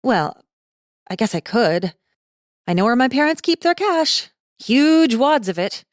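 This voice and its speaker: A woman's voice: a little high, very animated, a little slow, no echo, clean studio sound, voice pushed up. A woman playing a wary, slangy young college girl in a dramatized fantasy audiobook, talking in a casual, hesitant and sarcastic way. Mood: sarcastic, incredulous. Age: young adult. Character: slangy, wary, awkward nineteen-year-old college girl.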